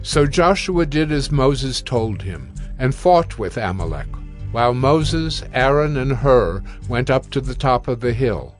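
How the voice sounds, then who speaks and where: A man narrating scripture for a dramatized reading in a measured, solemn manner. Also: mid-range voice.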